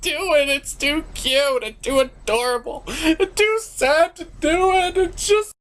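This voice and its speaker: A man's voice: very high voice, a little slow, fairly bright tone, pitched above the performer's own voice. A man voicing an easily overwhelmed, weepy puppet reviewer who gushes melodramatically. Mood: tearful gushing. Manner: melodramatic, gushing.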